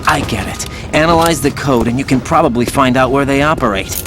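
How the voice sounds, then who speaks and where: A man's voice: medium pitch, fairly quick, natural voice. An actor playing a young soldier unsure of who he is, speaking hesitantly and asking questions in a video game cutscene. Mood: uncertain. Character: young male soldier, unsure of his identity. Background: loud orchestral music.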